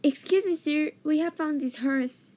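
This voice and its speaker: A woman's voice: high-pitched, a little slow, dark tone. A woman playing a respectful servant reporting news to the master in an amateur radio drama. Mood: earnest, deferential.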